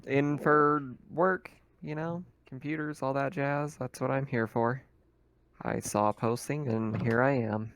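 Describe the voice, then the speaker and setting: A player of middling pitch voicing a cocky, prickly young tech worker for hire in a casual, brash manner. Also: fairly even intonation, measured pace, lightly acted. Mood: offhand.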